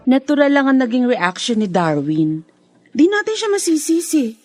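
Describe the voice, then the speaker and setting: A woman's voice: high voice, very animated, normal speed, a little mellow, dry recording, soft background music. An actress playing a feisty, jealous young girlfriend in a radio drama, with a sassy, opinionated way of talking. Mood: firm, reasoning.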